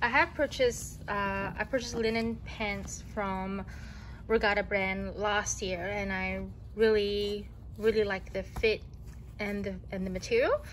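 A woman vlogger in a casual voice-over, showing her clothing purchases, talking slowly with many ums and restarts. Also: medium pitch, fairly even intonation, a little hiss or hum, low-quality recording. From Australia.

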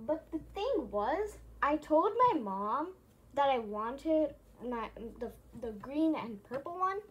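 A woman's voice: high voice, big pitch swings, takes it slowly, poor audio. A young female vlogger chatting casually and hesitantly, often repeating her phrases, recorded in a room at home. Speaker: vlogger showing a plush toy collection. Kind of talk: casual rambling chat.

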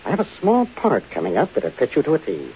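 Suave, swooping, theatrical film director. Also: male voice, mid-range voice, wildly swooping pitch, fast. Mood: sly, coaxing. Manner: affected, theatrical, smooth-talking. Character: suave, flirtatious, self-important male film director. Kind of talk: 1950s radio drama acting. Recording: muffled 1950 radio broadcast, hissy.

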